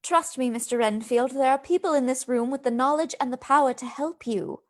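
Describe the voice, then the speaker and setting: Actress as a kind steadfast young wife, high voice, formal and reassuring, radio drama lady. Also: brisk, natural voice. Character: young married woman, compassionate, steadfast, well-mannered. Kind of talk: radio play acting.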